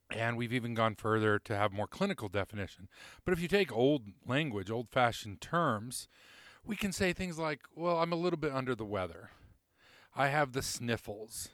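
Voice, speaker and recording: A middle-aged man hosting a podcast alone, talking reflectively and haltingly, often repeating words and correcting himself. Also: mid-range voice, fairly bright tone, no room sound, clean studio sound. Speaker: podcast host. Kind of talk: reflective solo podcast monologue.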